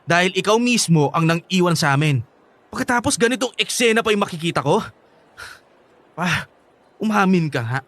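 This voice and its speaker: An actor with a Filipino accent playing an angry, wounded young son in a radio drama. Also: male voice, sits high, lively intonation, normal speed, long pauses, a bit bright, no room sound. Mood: angry, accusing.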